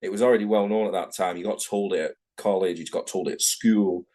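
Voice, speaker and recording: A man's voice: deep voice, fairly even intonation, fast, warm tone. A middle-aged man with a Manchester accent, talking frankly in a casual interview over a video call and often correcting himself. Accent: Manchester English. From England, Manchester. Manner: rambling, frank, self-correcting. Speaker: tattoo artist guest.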